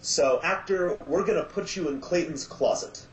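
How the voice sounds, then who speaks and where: A man speaking as an interview guest in casual chat, enthusiastic but halting and reflective. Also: a little high, reverberant, a little hiss or hum, rough recording. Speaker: podcast guest, audio drama maker.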